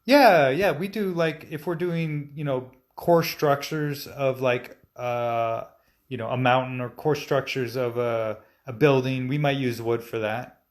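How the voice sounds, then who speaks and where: A man in his forties, a stop-motion animator, answering viewer questions in a relaxed, chatty way with frequent fillers and restarts. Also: medium pitch, unhurried. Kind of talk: casual live-stream Q&A, reading viewer comments aloud.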